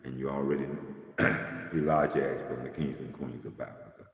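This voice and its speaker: A man with an extremely low, gravelly voice talks about faith like a sermon among friends, his voice worn and fading. The sound bounces around a reverberant room. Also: fairly even intonation, moderate pace. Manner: preachy, builds to impassioned emphasis. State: losing his voice. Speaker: host of a livestream talk. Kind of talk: casual spiritual conversation.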